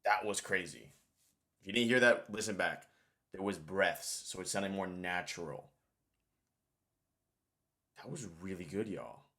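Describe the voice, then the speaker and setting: Low-pitched man, casual tutorial host. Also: unhurried, long pauses, sharp tone. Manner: informal, thinking aloud. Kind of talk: casual screen-share tutorial.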